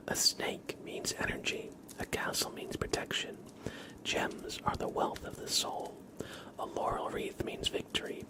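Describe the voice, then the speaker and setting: A man with an American accent speaks very softly, much of it in a breathy whisper. His voice sits low, and he takes his time. He sounds like an ASMR streamer gently talking listeners through a relaxed session. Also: poor audio. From East Coast of the United States, near New York. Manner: soft, unhurried, gently reassuring. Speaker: ASMR livestream host. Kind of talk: whispered ASMR, casual livestream chat and card reading.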